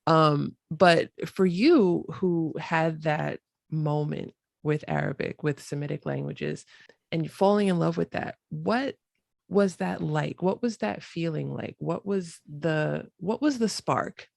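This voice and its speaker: Gravelly-voiced American podcast host. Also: medium pitch, takes it slowly, no echo, studio quality. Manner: enthusiastic, self-correcting restarts. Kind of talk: interview questions, casual chat.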